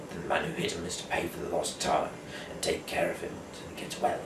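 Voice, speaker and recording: A man from the UK who speaks haltingly and stumbles over words as an amateur host and reader. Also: low-pitched. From United Kingdom. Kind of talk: casual rambling talk, then reading scripture aloud. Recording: echoey room, distant mic, clear background noise.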